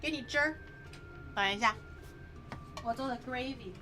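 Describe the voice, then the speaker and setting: A woman's voice: high voice, normal speed. A woman whose first language is Mandarin, chatting and giggling in a playful way while cooking on a casual livestream. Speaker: streamer. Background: background music.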